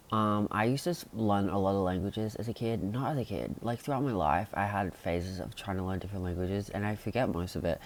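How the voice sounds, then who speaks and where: A young man chatting casually to camera in a rambling vlog, full of fillers and sudden excited jumps in pitch. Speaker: vlogger.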